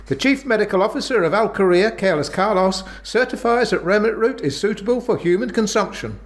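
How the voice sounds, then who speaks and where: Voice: mid-range voice, lively intonation, fairly quick, close to an everyday voice. A performer playing a mock radio announcer, narrating and reading parody sponsor messages in a comedy play. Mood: promotional.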